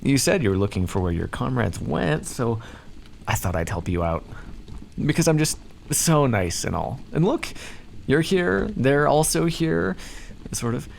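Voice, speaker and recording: A man's voice: mid-range voice, sing-song, unhurried, gravelly, a little hiss or hum. A man voicing a smug, playfully menacing vampire captor in an ASMR roleplay, speaking to the listener in a theatrical, condescending and teasing way. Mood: mock cheerful. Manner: condescending, theatrical, teasing drawl with pet names.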